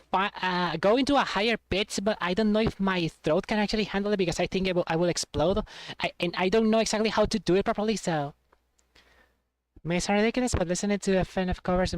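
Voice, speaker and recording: A woman streamer rambles to her chat with a voice of middle pitch, laughing easily and stumbling over restarts as she talks. She sounds close to the mic in a dry, dead room. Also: dry recording. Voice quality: trained, voice she has been working on. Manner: rambling, self-deprecating, laughs often, many restarts.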